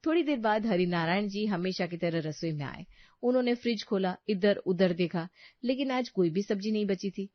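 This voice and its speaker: A woman's voice: mid-range voice, lively intonation, moderate pace, dry recording, lo-fi sound. A woman narrator reading a scripted family story as a storyteller.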